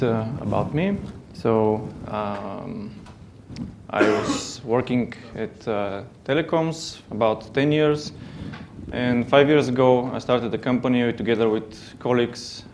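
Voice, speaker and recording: A man's voice: mid-range voice, steady tone, unhurried, darkish tone, slight background noise. A man with a Bulgarian accent giving a technical conference talk, hesitant, with many fillers and restarts. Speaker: conference speaker. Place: conference hall.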